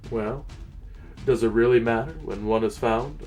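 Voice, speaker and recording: A man hosting and narrating fantasy stories as a game master, theatrical, with weighted pauses. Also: medium pitch, fairly even intonation, darkish tone, faint music behind. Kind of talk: dramatic reading and channel presenting.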